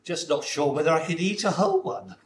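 A man's voice: fairly high pitch, wildly swooping pitch, brisk. A man playing a vain, snobbish female ugly sister in a hammy British pantomime style, boastful and exaggerated. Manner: boastful, exaggerated, plays to the audience. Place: live amateur pantomime on a hall stage. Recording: roomy stage recording, noisy ambience.

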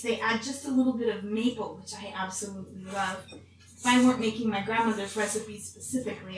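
A middle-aged woman hosting a homely, chatty recipe demonstration to camera in a grandmotherly storybook manner. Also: on the high side. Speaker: cooking show host in character as Mrs. Claus. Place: home kitchen, indoors. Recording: very echoey, distant mic, faint room noise.

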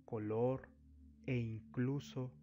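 A man narrating a guided meditation as a spiritual guide, giving deliberate instructions in short phrases with long pauses. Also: medium pitch, steady tone, dark tone, muffled recording. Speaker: spiritual therapist, meditation guide and channel host. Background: background music.

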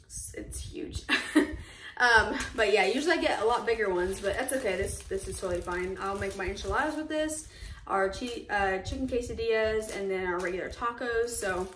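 A woman's voice: medium pitch, moderate pace, fairly bright tone, far from the mic. A chatty young woman rambles cheerfully through a home vlog, full of little fillers and asides. She sits a little back from the camera mic, so her voice rings in an echoey kitchen with a faint hiss underneath. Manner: chatty, upbeat, lots of fillers. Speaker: vlogger. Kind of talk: casual grocery haul vlog.

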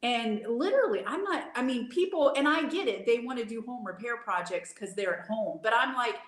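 A woman's voice: fairly high pitch, a little fast. A woman in her forties co-hosting a yarn shop livestream over a video call, telling everyday stories in a chatty way. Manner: storytelling, animated anecdotes with laughs. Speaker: yarn dyer and shop co-host. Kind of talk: casual livestream chat. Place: room at home. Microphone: webcam video call. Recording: echoey room over webcam.

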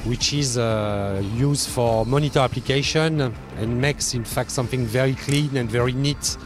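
A man gives an explanatory factory demonstration into a handheld mic, haltingly, searching for words. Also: mid-range voice. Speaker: factory guide. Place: large factory workshop, indoors. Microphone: handheld microphone. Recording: music behind the voice.

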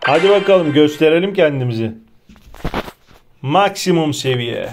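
A man's voice: on the high side, animated, normal speed. A man giving casual, chatty gameplay commentary, thinking aloud to his viewers. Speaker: gaming video host. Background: loud game music behind the voice.

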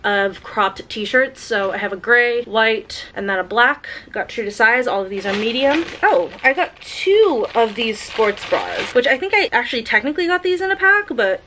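A woman in her thirties talks through a haul and a makeup routine in an easy, upbeat way, full of little "like"s as she thinks aloud. Her voice sits a touch high. She is recorded at home on a camera mic, with a faint hum of room noise underneath. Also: lively intonation, moderate pace, few pauses, pushed and bright, poor audio. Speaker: vlogger. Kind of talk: casual try-on haul and makeup chat. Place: bedroom at home, indoors.

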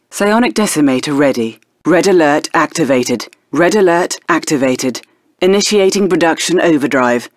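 An actress playing a composed female military intelligence officer, giving crisp, formal status announcements in a strategy video game. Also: sits low, no room sound, studio quality.

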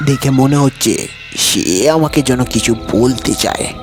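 A frightened male diner played by a man tells his haunted hotel tale in a horror audio drama. His voice sits at a middle pitch, with music running underneath. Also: animated, a little slow, natural voice. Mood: suspenseful narration. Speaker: story narrator and lead actor.

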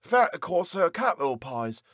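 A man's voice: fairly high pitch, big pitch swings, brisk, fairly bright tone, no room sound, clean studio sound, pitched above the performer's own voice. Man doing a fed-up young baker, raised voice. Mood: grumbling. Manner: exasperated, complaining. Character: young male baker, fed up, trapped in cellar.

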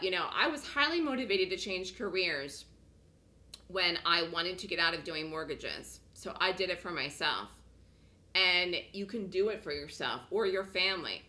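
A woman with a lowish but bright, forward voice runs a webinar from her home office. She sounds slightly hoarse, as if just getting over a cold. Her talk is chatty and repetitive, and she pitches her course with frequent "okay?" checks to her listeners. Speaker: trading course instructor.

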